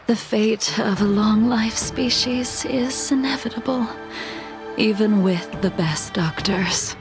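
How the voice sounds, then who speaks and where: A woman voicing a composed, world-weary swordswoman for a video game, grim and formal, with a soft breathy undertone. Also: medium pitch, over music. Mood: solemn, resigned. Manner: formal, measured, solemn. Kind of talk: dramatic video game voice acting.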